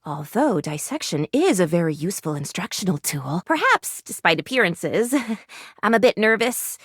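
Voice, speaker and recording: A woman's voice: fairly low pitch, very animated, moderate pace, fairly bright tone, husky, no echo, studio quality, exaggerated voice. A woman voicing an earnest, anxious first aid kit doctor in a video game, speaking in a theatrical, fussily formal, rambling way. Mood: nervous, hopeful. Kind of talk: animated video game character voice acting.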